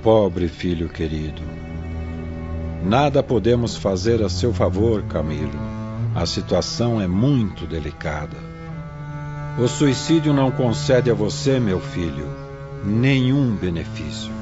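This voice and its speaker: A man plays a grave old father in an audiobook drama, speaking low with solemn regret. His dull-sounding voice sits over clear string music. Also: expressive, unhurried. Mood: solemn pity. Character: grave, sorrowful elderly father consoling his son.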